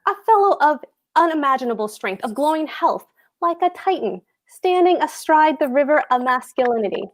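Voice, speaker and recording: A woman's voice: high-pitched, lively intonation, normal speed. A woman reading the part of a pompous, pedantic husband and petty official in a stage comedy table read. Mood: mocking, grandiose. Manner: pompous, emphatic, precise.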